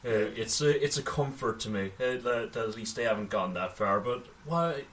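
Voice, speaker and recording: A man co-hosting a casual film news podcast, sometimes reading items out, with halting delivery and wry asides. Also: medium pitch, expressive, a bit bright. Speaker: podcast co-host. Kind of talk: casual film news discussion, partly read out. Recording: voice slightly distant from microphone.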